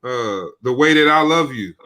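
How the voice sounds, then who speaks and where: A man's voice: mid-range voice, lively intonation, moderate pace, sharp tone. A young man co-hosting a podcast, talking loosely with slang and lots of restarts. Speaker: podcast co-host. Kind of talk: casual chat.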